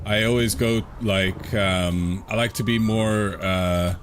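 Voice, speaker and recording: A man's voice: fairly low pitch, steady tone, takes it slowly, a little hiss or hum. A man from London streaming a game live, answering viewer questions in a chatty way, laughing often and repeating himself as he thinks aloud. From England, London. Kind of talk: casual live-stream chat.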